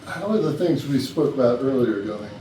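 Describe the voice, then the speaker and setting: An actor playing a self-important, demanding company boss in a comedy play reading, with an authoritative speechifying manner. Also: male voice, medium pitch, expressive, normal speed, natural voice. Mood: stern. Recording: distant, echoey room with faint noise.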